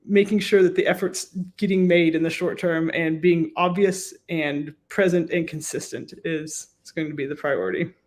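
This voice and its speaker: A woman with an American accent, a professor and researcher, giving measured, explanatory interview answers with emphatic lists. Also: low voice, steady tone.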